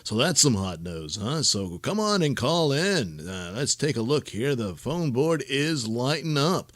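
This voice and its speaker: A man's voice: mid-range voice, big pitch swings, unhurried, a bit bright, dry recording. A man playing a chipper, unflappable call-in show host in a talk radio parody. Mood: upbeat.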